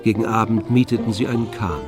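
A man narrating a literary radio play in a composed, measured manner. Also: fairly low pitch, steady tone, music in the background, natural voice.

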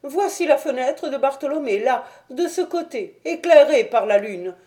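A woman voicing an anxious, fussy gentleman who speaks in a nervous, indignant way in an audiobook story. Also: sits high, lively intonation, moderate pace, voice pushed up.

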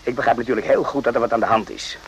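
A man's voice: medium pitch, very fast, dull audio, a little hiss or hum, natural voice. A man acting in a radio drama as an evasive, boastful, naive army private, hesitant and repeating himself. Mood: confiding.

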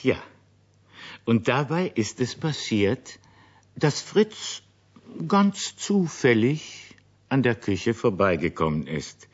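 A man narrating a children's radio play as a storyteller, with a husky voice. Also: mid-range voice, big pitch swings, slow. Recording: dull old radio recording.

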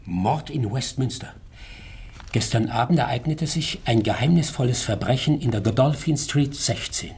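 An actor playing a shrewd, composed private detective in a German radio drama, speaking in a measured, formal way. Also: male voice, medium pitch, darkish tone, breathy edge. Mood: reading gravely.

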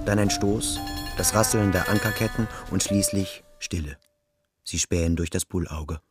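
A man with a low voice narrates a literary radio drama. He reads scripted descriptive prose, with music clearly playing behind him. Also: normal speed.

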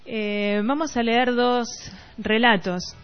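A woman's voice: fairly high pitch, steady tone, slow pace, clean tone, soft background music. A woman radio host, reading literary prose on air. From Argentina. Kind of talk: reading a short story aloud on a literary radio show. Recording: dull lo-fi radio recording.

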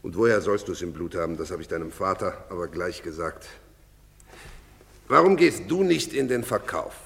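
Proud bullying craftsman, gravelly creak, swooping theatrical intonation, pompous, scolding, reverberant stage drama. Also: fairly low pitch. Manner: pompous, scolding, repeating himself emphatically. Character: blustering, proud, prejudiced master carpenter bullying his apprentice. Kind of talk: German-language theatre acting in a radio broadcast.

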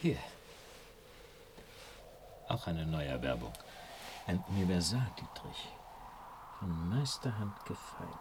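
Cool wry detective guy, really deep voice, unruffled, radio play, noisy track. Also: sing-song, slow pace, pauses often, exaggerated voice. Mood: confident. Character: cool, wry, self-assured male detective on a burglary. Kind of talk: radio drama acting.

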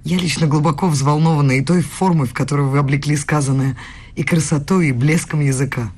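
A woman acting in a radio play as an eighteen-year-old philosophy student, eager, nervous and deferential, with a husky voice. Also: deep voice, steady tone, brisk, a little mellow. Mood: earnest, admiring. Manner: earnest, flustered, deferential.

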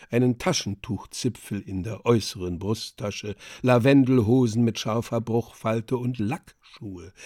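A man narrating a radio drama as a measured storyteller, reading vivid literary stage directions deliberately. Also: mid-range voice, a little mellow, no echo, clean studio sound, natural voice.

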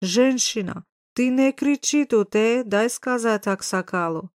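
A woman's voice: a little high, measured pace, no echo, natural voice. A woman reader puts on a big, swaggering man from a storybook, proud and used to being obeyed. He speaks in blunt, guttural bursts with a Caucasian accent. The sound is dry and close. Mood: commanding. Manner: punctuates speech with interjections, blunt short phrases.

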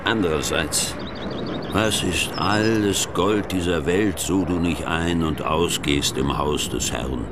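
Radio play casting: pious, evasive church official, low unctuous preacherly voice, noticeable background noise. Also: male voice, slow pace. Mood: pious, aloof. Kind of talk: German radio drama acting.

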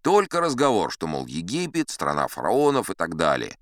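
Deep-voiced, wry old-salt sea captain. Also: male voice, huge pitch swings, moderate pace, dry recording, clean studio sound. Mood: wry, reflective. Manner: conversational, wry raconteur with rhetorical asides. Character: seasoned male sea captain telling tall tales, self-assured and wry. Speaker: audiobook performer in character as storyteller. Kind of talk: theatrical first-person audio drama narration.

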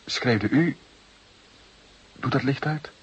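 A young burglar of about twenty in a radio drama. His voice is very husky and medium in pitch, his manner sullen, guarded and defeated under police questioning. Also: slow pace, muffled recording. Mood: shaken, bitter. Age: young adult. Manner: guarded, clipped, brooding answers. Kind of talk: Dutch radio play acting.

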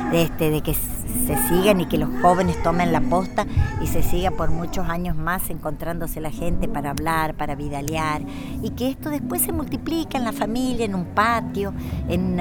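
A woman speaking thoughtfully as an interview guest, searching for her words with frequent fillers. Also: lowish voice. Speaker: interviewee, quichua scholar. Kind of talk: reflective conversational interview. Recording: loud music under the voice.

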